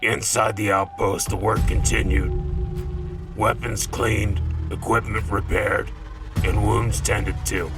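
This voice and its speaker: An actor playing a weary, somber soldier in a radio war drama, recounting in a measured way. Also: male voice, lowish voice, steady tone, recorded from a distance, over music. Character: weary battle-worn soldier recounting a costly fight. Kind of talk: scripted war-drama narration.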